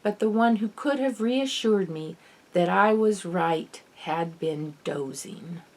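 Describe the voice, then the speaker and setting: A woman reading expressive scripted narration from a novel as an audiobook. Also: lowish voice, slow. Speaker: author reading own novel.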